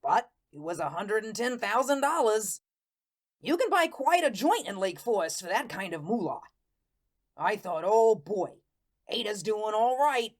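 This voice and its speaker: A woman's voice: medium pitch, sing-song, unhurried. Woman playing a naive, slangy good-natured ghost fellow. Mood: chatty, guileless. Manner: folksy, colloquial, guileless storytelling. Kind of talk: first-person radio-play narration.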